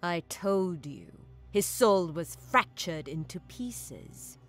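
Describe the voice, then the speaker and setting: An actress playing a knowing, sinister mystic woman in an audio drama, speaking deliberately with calm, ominous menace. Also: fairly low pitch, faint music behind. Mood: ominous revelation. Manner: slow, deliberate, coolly ominous.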